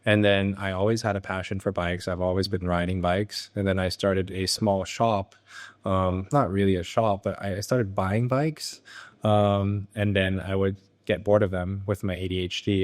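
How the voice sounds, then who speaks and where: A young man from Thailand with an American accent, a business founder answering interview questions in a relaxed, halting way with many ums and uhs. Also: low voice. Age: young adult. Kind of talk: casual interview. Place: his motorcycle garage.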